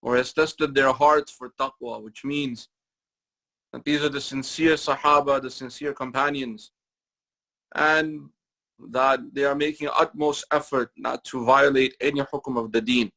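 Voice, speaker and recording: Gravelly-voiced man giving a mosque lecture. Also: medium pitch, fairly even intonation, pushed and bright, rough recording. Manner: measured, repetitive phrasing, recites Quranic Arabic between English explanations. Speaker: imam giving a Ramadan lecture. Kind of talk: sermon-style religious lecture.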